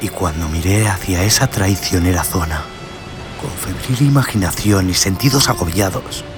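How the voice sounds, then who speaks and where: A man's voice: deep voice, normal speed. A man narrating a scripted horror tale in a solemn, theatrical way. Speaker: host and narrator of a horror audio story show. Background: music.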